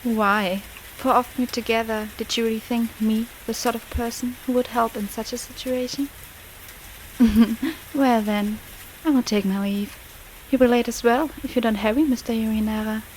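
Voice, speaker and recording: A woman's voice: on the high side, steady tone, moderate pace, a little hiss or hum, close to an everyday voice, rough recording. A woman playing a haughty, teasing young lady in a visual novel fandub, speaking formally. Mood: coolly amused. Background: rain.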